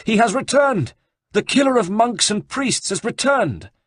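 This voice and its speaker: A man voicing a panicked elderly abbot, crying out in high, wailing tones. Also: sing-song, fast talker, breathy edge, no room sound, studio quality, exaggerated voice. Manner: wailing, high-pitched cries. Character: panicked elderly male abbot, shrill and fearful.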